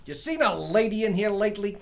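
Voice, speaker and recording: A man's voice: sits high, animated, fast talker, creaky, very noisy. A man playing a slick, sarcastic customer in a hurry in a radio play, curt and clipped. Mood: brisk, impatient. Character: slick, sarcastic, hurried male bar customer.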